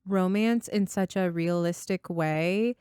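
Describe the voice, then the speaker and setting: A woman's voice: on the low side, slow, a little mellow, clean tone, no room sound, studio quality. A woman co-hosting a casual book podcast, speaking in an enthusiastic, effusive way. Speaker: podcast co-host.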